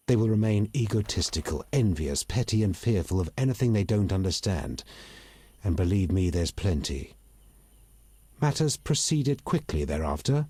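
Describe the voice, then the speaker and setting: A man playing a proud, bitter elf rebel in a video game, articulate and measured, with open disdain. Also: low-pitched, darkish tone, husky, no room sound. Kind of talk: video game dialogue acting.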